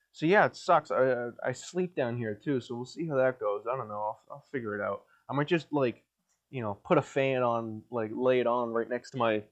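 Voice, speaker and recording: A young man with a nasal voice rambles alone into the mic, deadpan and crude, stumbling over his words. He sounds dry-mouthed and a bit coughy, like he's been sweating in a hot basement all day. His pitch sits right in the middle for a man. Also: lo-fi sound. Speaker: podcast host. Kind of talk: solo rambling podcast monologue.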